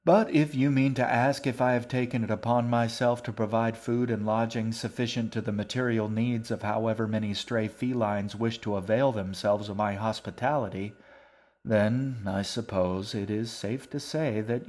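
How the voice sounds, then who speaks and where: A man voicing a genial, wordy older gentleman in an audiobook, with formal, long-winded speech. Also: fairly low pitch, steady tone, moderate pace, close to an everyday voice. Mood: expansive. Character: genial old bearded man, wordy and grand. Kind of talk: audiobook character voice.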